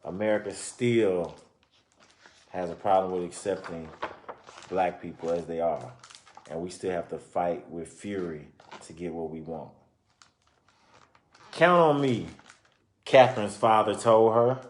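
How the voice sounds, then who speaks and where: Male storyteller, lower register, reads a picture book with informal asides; roomy, echoing sound. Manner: pauses to explain and comment to listeners. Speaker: reader presenting a children's book. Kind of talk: read-aloud of a children's book with informal commentary.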